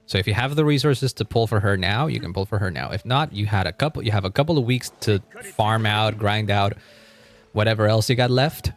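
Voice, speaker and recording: A man streams a game in a fairly low, very animated voice. He talks casually and ramblingly, circling back over his own phrases. The sound is dry, with little room in it. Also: no echo. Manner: chatty, rambling, repeats phrases. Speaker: live streamer. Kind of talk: casual livestream chat while gaming.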